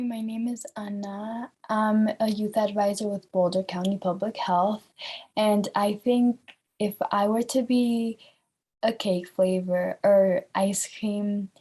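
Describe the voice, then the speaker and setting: Mellow, unhurried woman on a call. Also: medium pitch, fairly even intonation. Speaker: workshop participant. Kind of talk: casual self-introduction.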